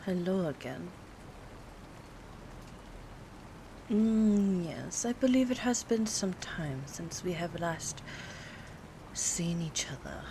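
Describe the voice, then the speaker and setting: A woman acting an aloof, teasing old vampire in an ASMR roleplay, speaking softly and formally with hesitant pauses, often near a whisper. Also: lowish voice, lively intonation, poor audio. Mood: dry, guarded. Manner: formal, hesitant, haughty phrasing with drawn-out pauses. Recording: clear background ambience noise. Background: rain, city ambience, soft music.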